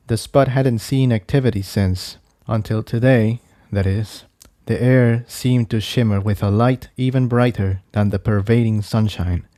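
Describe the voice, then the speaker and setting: A man's voice: fairly low pitch, warm tone, no echo, clean studio sound. A man reading a scripted adventure story as narrator, in audiobook style. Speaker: fan fiction narrator.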